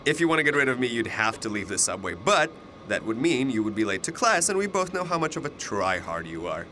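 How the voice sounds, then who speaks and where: A man's voice: big pitch swings, fairly quick, bright, forceful tone. A man acting in a naturalistic audio drama, talking in a casual, slangy way. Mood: chatty, insistent. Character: young male university student commuter confronted by chatty stranger. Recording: faint train noise. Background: subway car rumble.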